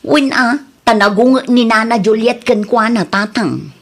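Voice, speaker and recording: A woman as a chatty, high-voiced Ilocano woman. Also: unhurried. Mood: chatty, helpful. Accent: Ilocano Filipino. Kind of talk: Ilocano radio comedy drama acting.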